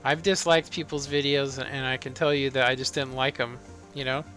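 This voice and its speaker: A man in his thirties, a live streamer chatting casually and rambling with lots of fillers in a monotone way. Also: medium pitch, brisk. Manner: relaxed, rambling, many fillers. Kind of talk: casual live-stream chat while playing. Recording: faint background music.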